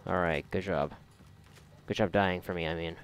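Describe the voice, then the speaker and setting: A man giving casual, reactive commentary while gaming, sarcastic and foul-mouthed, with a gravelly voice. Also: deep voice, steady tone, normal speed, soft background music. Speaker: gamer recording a playthrough. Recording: noisy, game gunfire behind voice. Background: video game gunfire and ambience.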